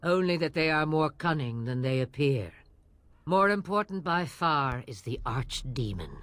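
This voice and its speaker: A woman's voice: sits low, animated, a little slow, a bit bright, no echo. An actress playing a sardonic, weary and imperious old witch of the wilds in a fantasy game, with an aged, theatrical delivery. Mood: grave. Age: older. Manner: theatrical, wry, dismissive. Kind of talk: fantasy video game voice acting.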